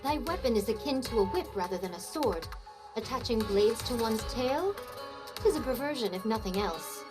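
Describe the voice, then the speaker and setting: A woman's voice: fairly high pitch, animated, moderate pace, music in the background, lightly acted. A woman playing a haughty swordswoman in a video game, pompous and lecturing in archaic speech. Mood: scornful. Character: haughty, archaic-speaking swordswoman, disdainful and preachy.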